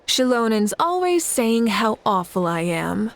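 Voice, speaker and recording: A woman's voice: a little high, sing-song, unhurried, vocal fry, a little hiss or hum, exaggerated voice. A woman voicing a confident, playful female leader who speaks with teasing, easy authority. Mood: calm. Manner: self-assured, teasing, warm authority. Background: game music.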